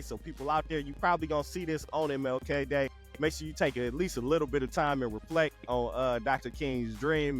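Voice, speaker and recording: Laid-back man hosting a podcast. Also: fairly high pitch. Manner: laid-back, laughs often, earnest. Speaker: podcast host. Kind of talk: casual podcast chat.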